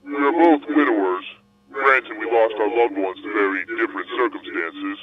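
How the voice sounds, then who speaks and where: A man's voice: on the low side, natural voice. Man playing a coolly smug captor on a tinny line. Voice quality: measured, smug, coolly polite. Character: calm cunning male captor gambling for keys. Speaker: interrogator. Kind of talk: audio drama acting. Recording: phone-like, crowd noise behind.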